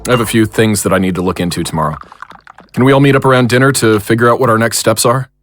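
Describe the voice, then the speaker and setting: A man's voice: medium pitch, quick pace, a bit bright, faint music behind, lightly acted. An actor playing a sensible man who takes charge of his friends, in an audio drama. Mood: businesslike.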